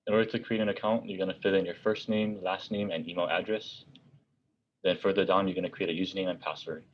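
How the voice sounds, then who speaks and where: A man presenting for a college outreach office, narrating a scripted tutorial with plain step-by-step instructions. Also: low voice. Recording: dull, muffled lo-fi audio.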